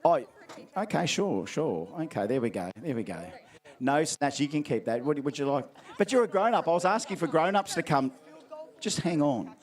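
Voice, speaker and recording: Playful Australian male event MC, fairly high voice. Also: lively intonation, normal speed. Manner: playful, teasing, jokey. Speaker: event MC giving out prizes. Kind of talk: live crowd banter. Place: outdoor event ground.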